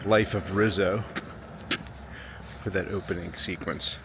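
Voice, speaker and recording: Low-voiced man of sixty, very noisy background. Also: steady tone, measured pace. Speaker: travel vlogger. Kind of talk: casual vlog narration, talking to camera. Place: outdoors by a waterfront. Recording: loud outdoor ambient and vehicle-like noise.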